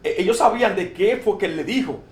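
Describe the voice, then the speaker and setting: Halting man reading scripture, echoey room. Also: high-pitched, expressive. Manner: reads verses haltingly, repeats words. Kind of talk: informal Bible discussion.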